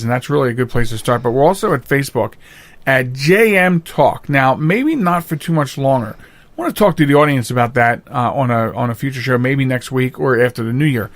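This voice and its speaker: A man's voice: mid-range voice, a bit bright, dry recording. A man with a Philadelphia-area accent hosting a podcast in casual, teasing, jokey chat. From Delaware County, Pennsylvania, United States. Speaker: podcast host.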